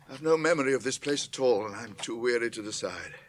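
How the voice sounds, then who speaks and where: Old male wizard, theatrical and authoritative, irascible, very animated intonation, radio drama with light background noise. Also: medium pitch. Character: commanding, irascible old male wizard leading a weary company. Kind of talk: classic radio drama acting.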